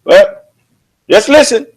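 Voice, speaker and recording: A man with a raised, high-pitched voice preaches a sermon to his congregation. He speaks loud and emphatic and keeps firing rhetorical questions at his listeners. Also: husky. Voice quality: loud, booming voice. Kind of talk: sermon at a prayer service.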